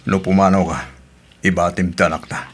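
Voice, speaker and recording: A man's voice: low voice, level tone, normal speed. A Filipino man playing a betrayed, resigned husband in an audio drama, speaking with a heavy heart. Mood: wounded.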